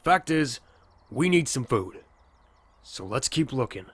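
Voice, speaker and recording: A man's voice: mid-range voice, big pitch swings, slow, a bit bright, husky, dry recording, exaggerated voice. A man playing a guarded, abrasive young survivor in a zombie apocalypse audio drama, curt, defensive and foul-mouthed. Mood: curt, irritable. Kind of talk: fan audio drama acting.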